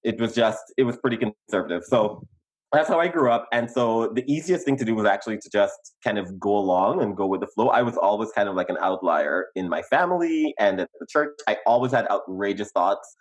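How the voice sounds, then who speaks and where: A young adult man from Guyana with a Guyanese accent, telling his life story in casual podcast chat, animated and quick to laugh. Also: low-quality recording. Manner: animated, laughs easily, emphatic pitch jumps. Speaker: podcast co-host.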